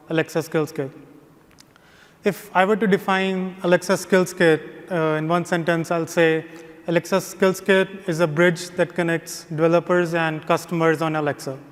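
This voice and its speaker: A man giving a conference talk as presenter, steady and measured. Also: a little high. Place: large conference hall. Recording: long reverb of a big hall.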